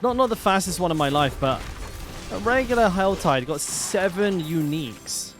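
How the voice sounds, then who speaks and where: A man's voice: high-pitched, animated, moderate pace. A man streaming a game, chatting casually and rambling with lots of fillers. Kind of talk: casual gameplay commentary. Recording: game music behind voice. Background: game music.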